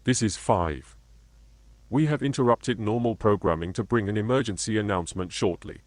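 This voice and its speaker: Low-pitched man, dry formal announcement. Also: no echo. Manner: formal, measured. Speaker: continuity announcer. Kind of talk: scripted emergency broadcast announcement.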